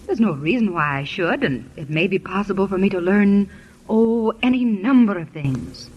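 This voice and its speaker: A woman's voice: medium pitch, animated, normal speed, a little mellow, natural voice. A woman acting a curious, determined married heroine in a vintage radio drama, with an eager, emphatic delivery. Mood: defiant, breezy. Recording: muffled, noisy old radio broadcast.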